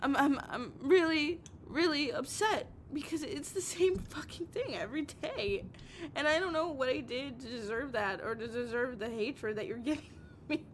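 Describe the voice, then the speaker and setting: Woman acting a high-voiced, heartbroken, obsessive young woman. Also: animated. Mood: upset, defensive. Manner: halting, stammering, repeating phrases, emotionally raw. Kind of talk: intimate audio roleplay monologue. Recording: noisy recording with low rumble.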